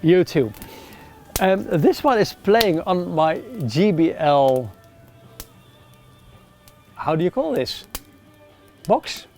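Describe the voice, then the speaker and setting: A middle-aged man with a Dutch accent, chatting casually to camera outdoors by a campfire, relaxed with frequent ums. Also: high voice, slow, pauses often, faint music behind. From Netherlands. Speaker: outdoor gear reviewer.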